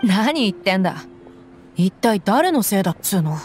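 A woman acts out a tough young desert mercenary for an anime game. Her delivery is blunt and tomboyish at a middle pitch, with light music underneath. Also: very animated, moderate pace, darkish tone, close to an everyday voice. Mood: exasperated. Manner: blunt, tomboyish, rough casual speech. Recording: background music.

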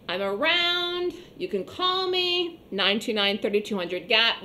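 A woman with a slightly hoarse voice teaching an online trading class, explaining step by step and repeating points conversationally. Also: mid-range voice, a bit bright, slight background noise. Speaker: trading instructor. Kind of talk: online trading webinar lecture.

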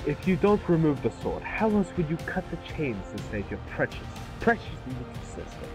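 A man's voice: fairly high pitch, big pitch swings, a little fast, over music. A man playing a scheming villainous warlord in a casual table read, taunting and gloating. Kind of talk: amateur table read acting.

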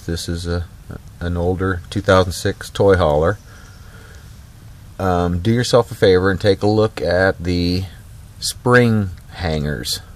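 A man casually talking through a trailer repair outdoors, folksy and rambling with lots of fillers and restarts. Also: low voice. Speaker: DIY vlogger. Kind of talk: casual off-the-cuff how-to vlog. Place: outdoors on a driveway beside a trailer.

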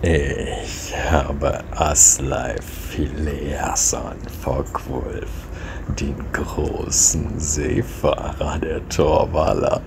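A man voicing a menacing, hooded dark warrior who proclaims slowly and grandly in a fantasy story. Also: a bass voice, voice pushed down, full character voice. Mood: dark menace. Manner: slow, ominous, grandiose proclaiming. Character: menacing hooded burly warrior servant of a great serpent. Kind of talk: dramatic fantasy story reading. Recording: clearly noisy background.

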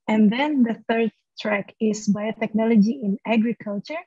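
A woman's voice: fairly high pitch, measured pace, darkish tone, slightly rough, dry recording. Woman presenting over a video call. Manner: explanatory, with frequent "uh" fillers. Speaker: university lecturer. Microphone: laptop mic on a video call. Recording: dull lo-fi video call audio.

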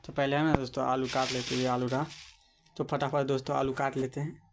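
A man talks through a home cooking vlog in a flat, level voice, narrating every step and calling out to his friends. He is recorded on a handheld phone in a small kitchen. Pots and dishes clatter clearly in the background. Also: mid-range voice, brisk, noisy background. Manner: chatty, keeps addressing friends, narrates each step. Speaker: cooking vlogger. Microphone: handheld phone camera.

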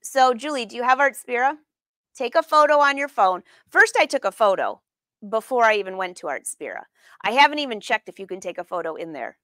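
A woman's voice: fairly high pitch, big pitch swings, a little fast, fairly bright tone, no echo, studio quality. A middle-aged woman hosting a casual live craft demonstration, chatting informally and putting questions to her viewers. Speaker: host of a live sewing lesson. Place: sewing studio room, indoors.

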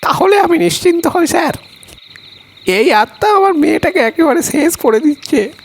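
A woman's voice: high-pitched, big pitch swings, moderate pace. A woman playing an anxious, pleading mother in a horror audio drama.

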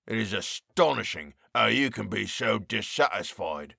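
A man voicing a hideous male jailer with a harsh, croaking voice and a slow, jeering delivery. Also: lowish voice, lively intonation, sharp tone, no echo, clean studio sound, heavily acted.

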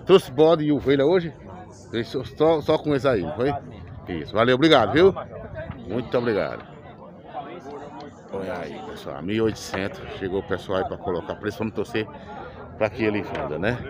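A man's voice: fairly low pitch, expressive, normal speed, pauses often. A middle-aged man, chatting casually to viewers as he walks through outdoor livestock pens. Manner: chatty, pointing things out to viewers. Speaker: vlogger visiting a cattle fair. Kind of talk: casual on-the-spot commentary. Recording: busy outdoor crowd noise. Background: crowd chatter.

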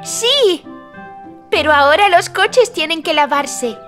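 A woman's voice: extremely high pitch, expressive, slow pace, a bit bright, soft background music, exaggerated voice. A woman voicing a cheerful, helpful little girl in a children's cartoon, talking to young viewers in a teacherly, exclamatory way. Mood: playful. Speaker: main character and presenter of a children's cartoon.

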